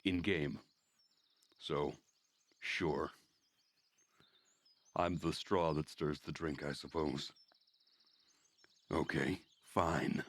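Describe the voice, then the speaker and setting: Male game streamer as narrator: deep, slow delivery, close dry recording, wry offhand asides. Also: fairly even intonation, no room sound. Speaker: streamer reading a visual novel aloud. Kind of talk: dramatic reading with casual asides.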